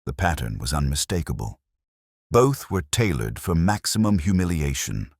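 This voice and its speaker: Male narrator for scripted mystery: extremely low, lively intonation, slightly husky, close dry sound. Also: no echo.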